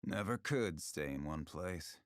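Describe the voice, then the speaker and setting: A man's voice: sits low, expressive, unhurried, dry recording, studio quality. An actor voicing a weary, honor-haunted male swordsman from a video game, with terse, solemn and wry delivery. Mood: weary, brooding. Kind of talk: video game character voice lines.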